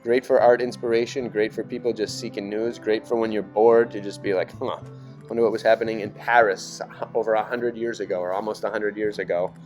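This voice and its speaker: Man, lowish voice, casual rambling narration, musing, conspiratorial, faint music behind. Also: little pitch movement, brisk, darkish tone. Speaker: video narrator. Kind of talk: casual rambling commentary over archive images. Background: background music.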